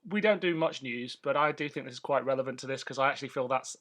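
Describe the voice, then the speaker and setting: Male podcast host, casual and chatty, middle-pitched voice, detail-minded banter. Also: quick pace, fairly bright tone. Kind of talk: casual banter between co-hosts.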